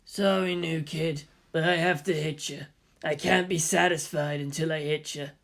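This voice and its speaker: A performer voicing a stubborn, bitter teenage jock boy with a gruff, confrontational manner. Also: medium pitch, fairly even intonation, moderate pace, fairly bright tone. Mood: bitter anger.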